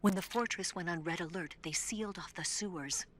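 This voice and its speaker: An actress playing a cool female spy in a video game, speaking in a terse, secretive, often hushed way. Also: fairly low pitch, brisk, close to an everyday voice. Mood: grave, urgent. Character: cool, urgent female spy guiding an escaping prisoner. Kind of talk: dramatic video game voice acting. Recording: music behind the voice.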